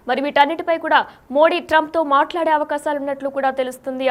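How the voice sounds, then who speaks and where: A woman's voice: high-pitched, a bit bright. A woman presenting scripted news in a formal, repetitive newsreader manner.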